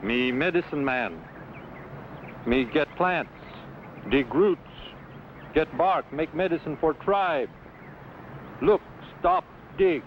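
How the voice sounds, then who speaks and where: An actor playing a friendly Delaware medicine man in an old-time radio adventure, speaking halting, clipped broken English in short phrases. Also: on the high side, expressive. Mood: calm, halting. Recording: dull, noisy old radio recording.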